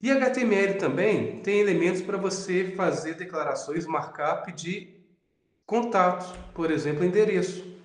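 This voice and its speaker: Cara mineiro dando aula de programação, voz meio aguda, sala com eco. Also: male voice, on the high side, reverberant. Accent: Minas Gerais Portuguese. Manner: relaxed, repeats "né?" and "tá?" often. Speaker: web programming teacher. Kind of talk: recorded lecture over slides.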